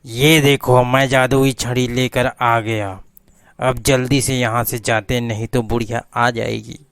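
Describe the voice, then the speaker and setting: A man's voice: medium pitch, little pitch movement, a little slow, fairly bright tone, clean tone, no echo, studio quality. A man voicing a scheming talking dog in a cartoon story, speaking in a plain, bossy way.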